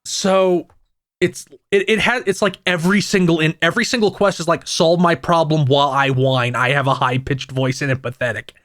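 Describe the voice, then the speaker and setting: A man with a fairly high voice chats about games on a podcast, rambling along with plenty of restarts and asides. The sound is dry and close, with no room around it. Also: fairly even intonation, fairly bright tone, no room sound. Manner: rambling, many restarts and fillers, quick asides. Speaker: podcast co-host. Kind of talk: casual gaming podcast chat.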